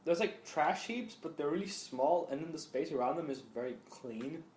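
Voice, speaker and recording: A man's voice: fairly high pitch, animated, moderate pace, a little mellow. A man co-hosting a casual film podcast, talking in loose restarts and often checking for agreement with "right?". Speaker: podcast co-host. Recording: echoey room.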